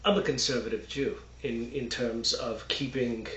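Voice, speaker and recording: Texas-raised man, mid pitch, halting unscripted explainer, dull roomy sound. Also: steady tone, a bit bright, a little hiss or hum. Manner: halting, many fillers and restarts, explains with "okay" and "right". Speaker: religious commentator. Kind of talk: unscripted video monologue.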